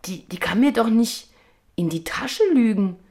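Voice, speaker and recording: A streetwise, stubborn female reader in a German radio play. Her voice is fairly high, and she talks bluntly in slang, stumbling and restarting as she protests. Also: lively intonation, measured pace, a little mellow. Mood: indignant, confused. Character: brash, confused, stubborn hired reader, streetwise woman. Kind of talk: German radio drama acting, colloquial.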